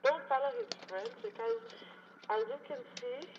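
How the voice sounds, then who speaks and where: A woman's voice: high-pitched, very animated, normal speed, vocal fry, darkish tone, a little hiss or hum. A woman with a Belizean accent calling in to a radio show over a dull phone line to give casual advice. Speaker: phone-in caller. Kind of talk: casual listener call-in. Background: TV or radio playing.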